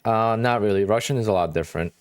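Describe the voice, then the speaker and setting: A man in his thirties with a light Polish accent talks casually to his live-stream viewers, in short, relaxed bursts. His voice sits fairly low. The sound is dry and close. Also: quick pace, no room sound. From Poland. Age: 30s. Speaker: day trading streamer.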